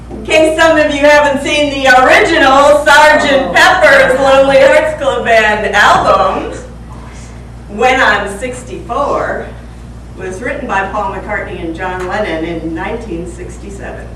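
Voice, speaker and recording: A woman's voice: high-pitched, slow, long pauses, a bit bright. Playful woman in her sixties, echoey room. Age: 60s. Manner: playful, teasing, quizzes the audience. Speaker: Toastmasters club speaker. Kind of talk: humorous prepared speech. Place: club meeting room. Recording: echoey room from far off, faint hiss.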